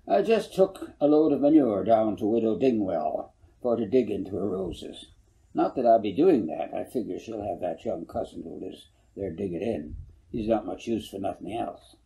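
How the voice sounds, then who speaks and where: A man's voice: fairly low pitch, expressive, measured pace, gravelly, mellow, rough recording. A man voicing a folksy, good-humored older farmer who rambles and corrects himself, in a storybook reading. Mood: folksy, rambling. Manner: rambling, homespun, self-correcting. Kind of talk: audiobook character voice.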